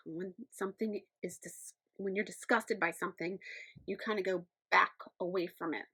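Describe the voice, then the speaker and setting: A woman's voice: on the low side, steady tone, moderate pace, bright, forceful tone, breathy edge. A woman teacher explaining things step by step in an instructional lesson for children. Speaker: teacher of the deaf.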